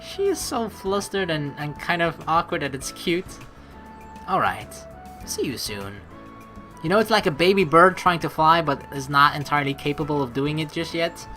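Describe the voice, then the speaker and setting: A woman doing chatty, excitable game commentary, mixing story text read aloud with playful asides. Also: low-pitched, moderate pace, soft background music. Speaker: let's play host.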